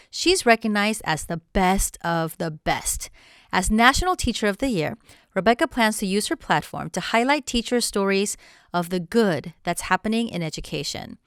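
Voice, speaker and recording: A woman's voice: medium pitch, fairly bright tone, clean tone. Upbeat woman hosting a podcast. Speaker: podcast host. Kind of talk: scripted podcast intro then casual interview. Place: theater venue with crew setting up.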